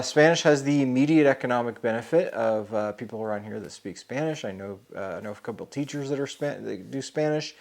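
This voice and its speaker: A middle-aged man talks through his thoughts on a solo podcast. He rambles in an easy, mid-pitched voice, full of "uh" and "you know" and frequent restarts. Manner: thinking aloud, many fillers and restarts. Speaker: podcast host; programming teacher.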